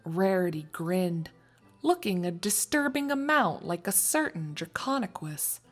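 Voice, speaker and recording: A woman reading scripted story narration in an expressive, wry storytelling manner. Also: fairly low pitch, a little slow, soft background music. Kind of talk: scripted fan fiction narration.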